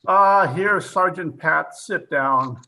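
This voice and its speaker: An actor playing a hard-nosed, sarcastic police captain in an old-time radio drama, interrogating forcefully and full of disbelief. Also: male voice, a little high, slow pace, vocal fry, full character voice. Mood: grim.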